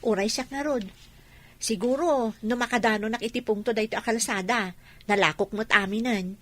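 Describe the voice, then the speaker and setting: A woman acting as a dutiful young Ilocano village daughter who sells fish. Her voice is high, in a radio drama style. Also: moderate pace, a bit bright, no room sound. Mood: friendly.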